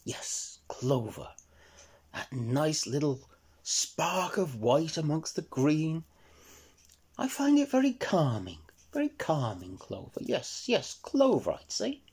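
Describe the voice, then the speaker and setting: A man puts on a calm, ponderous female badger from a children's story. The tone is dark and breathy, with a lilting pitch as she muses and repeats herself. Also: slow, exaggerated voice. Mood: calm, musing. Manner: musing, repeating words softly.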